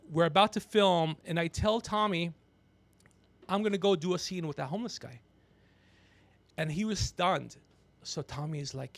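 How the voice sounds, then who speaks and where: A gregarious man hosting a podcast, telling rambling comedic stories with animated, teasing asides. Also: high voice, no room sound. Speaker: podcast host. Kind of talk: rambling comedic storytelling on a livestream.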